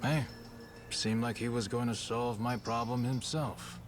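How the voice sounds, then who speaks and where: A man playing a frank, weary middle-aged yakuza boss in a video game, talking in gruff, matter-of-fact slang. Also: medium pitch, fairly even intonation, measured pace, slight background noise, lightly acted. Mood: resigned, frank. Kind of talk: video game cutscene acting. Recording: loud music behind the voice.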